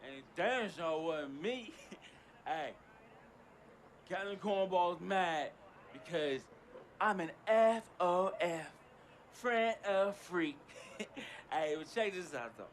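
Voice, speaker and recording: A cocky, laughing hanger-on. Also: male voice, sits high, lively intonation, slow, pauses often, a little hiss or hum. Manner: boastful, laughing, slangy swagger. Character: cocky flashy young male hanger-on friend. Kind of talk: video game cutscene acting.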